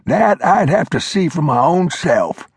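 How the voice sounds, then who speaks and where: Man putting on cranky old mountain man, high cackly Old drawl, teasing, husky, dry close sound. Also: expressive, unhurried, no echo, full character voice. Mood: boastful.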